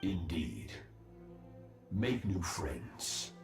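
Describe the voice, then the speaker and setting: An actor playing a menacing master of immense power in a video game, solemn and grandiose as he speaks deliberately. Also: deep voice, big pitch swings, exaggerated voice. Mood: cold, menacing. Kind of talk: dramatic video game acting. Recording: loud game music behind.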